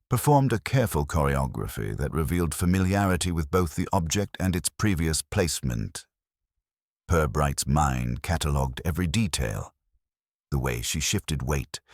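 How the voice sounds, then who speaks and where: A man narrating a scripted mystery story in a measured, formal storytelling manner. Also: rumbling low, dry recording, studio quality. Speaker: audiobook narrator.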